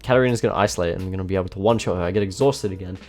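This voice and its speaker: Congested, slightly nasal low-voiced man. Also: racing. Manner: self-mocking, relaxed, frequent fillers. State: congested, blocked nose. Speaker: gameplay commentator. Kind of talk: casual voice-over commentary on his own match. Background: faint background music.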